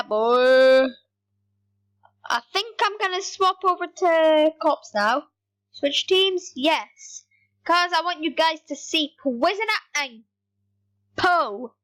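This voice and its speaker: A woman with a high voice gives casual, rambling commentary over her gameplay. She speaks at an unhurried pace and chats excitably to her viewers as she plays. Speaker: gaming YouTuber.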